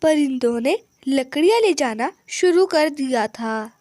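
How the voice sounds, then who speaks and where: A woman's voice: high voice, expressive, a little slow, a little mellow, no room sound, clean studio sound. A woman narrating a scripted children's story.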